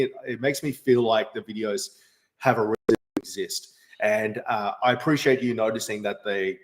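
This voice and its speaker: A man's voice: medium pitch, rough recording. Rambling man hosting a livestream. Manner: rambling, frequent fillers and restarts. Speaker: livestream host answering chat. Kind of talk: casual live chat.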